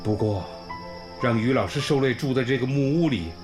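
A man's voice: mid-range voice, expressive, moderate pace, lightly acted. An actor playing a kindly old village school principal in a radio drama, effusive and homely, sighing and exclaiming as he talks. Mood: worried, wistful. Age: older, around sixties. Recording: music bed under the voice.

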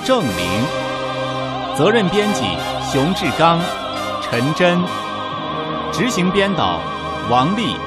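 A man announcing radio drama credits in a formal, measured, declamatory broadcast style. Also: fairly high pitch. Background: loud music.

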